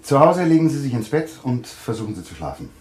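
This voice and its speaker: A man's voice: medium pitch, big pitch swings, fast, a little mellow, natural voice. An actor in a German radio play playing a self-assured male doctor, authoritative, clinical and reassuring. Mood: calm, directing.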